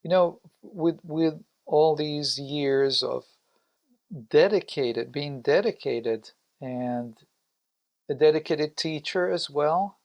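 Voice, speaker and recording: A man interviewing a guest on a podcast, asking open, conversational questions. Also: fairly high pitch.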